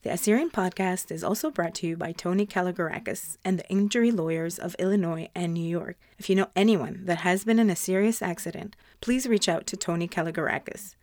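A woman's voice: lowish voice, fairly quick, few pauses, darkish tone. A woman speaking as a podcast host, presenting to listeners and interviewing a guest. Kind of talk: scripted podcast introduction and sponsor reads, then interview questions.

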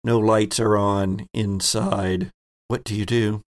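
A man's voice: on the low side, level tone, takes it slowly. Man narrating a tabletop game. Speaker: game master, host.

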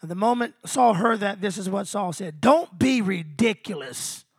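Pastor, 50, hoarse and losing his voice, high-pitched, dry close sound, energetic preaching. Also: normal speed, no room sound. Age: 50s. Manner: energetic, calls out to congregation. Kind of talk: sermon. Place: small venue with congregation.

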